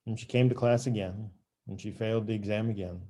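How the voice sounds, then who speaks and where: A man who teaches finance, telling a story in a relaxed, measured way. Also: lowish voice. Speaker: CMA instructor. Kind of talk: casual storytelling conversation.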